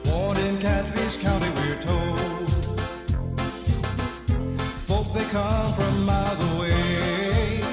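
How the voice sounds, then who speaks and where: Slow soulful woman over loud music. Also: medium pitch, steady tone. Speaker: singer of the show's theme. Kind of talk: slow soulful spoken and sung introduction. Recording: loud music under the voice, roomy. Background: gospel soul music.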